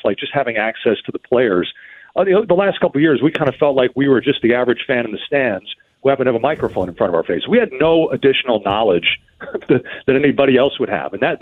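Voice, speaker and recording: Man on a thin phone-like line. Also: medium pitch. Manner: earnest, detailed, stammering restarts. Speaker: baseball radio play-by-play broadcaster, guest. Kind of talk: interview answers, explaining.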